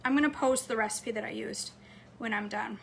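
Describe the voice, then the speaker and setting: Cheery lady in her 40s talking into her phone in the kitchen, bit hoarse, highish voice. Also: brisk, a little hiss or hum. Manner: chatty, upbeat, talks straight to camera. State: slightly hoarse. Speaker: cooking vlogger. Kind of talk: casual chat to viewers. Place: home kitchen, indoors. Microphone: phone camera close up on a counter.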